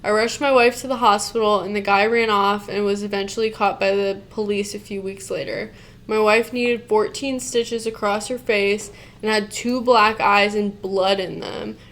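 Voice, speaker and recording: A woman reads a post aloud at an ordinary middle pitch, in an everyday conversational voice. She keeps cutting in with blunt, casually profane reactions, and she trips over words and restarts as she reads. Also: fairly even intonation, normal speed, a bit bright. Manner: blunt, swears casually, interrupts the reading with reactions; stumbles and restarts while reading aloud. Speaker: co-host reacting to a Reddit post. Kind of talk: reading a post aloud with commentary.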